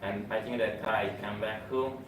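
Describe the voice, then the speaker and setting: Italian guy, lowish voice, teacher giving a report-out, mic far off in a big echoey room with noise. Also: steady tone. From Italy. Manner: earnest, halting, with small laughs. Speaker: teacher in an exchange program. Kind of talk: group report-out to colleagues.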